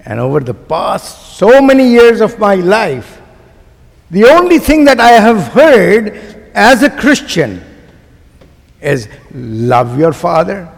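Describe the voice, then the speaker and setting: A man with a Pakistani accent preaching a sermon to a congregation, using emphatic, deliberate phrasing and putting rhetorical questions to his listeners. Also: high voice, gravelly. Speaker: guest preacher. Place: large church sanctuary. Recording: long hall reverb of a church sanctuary.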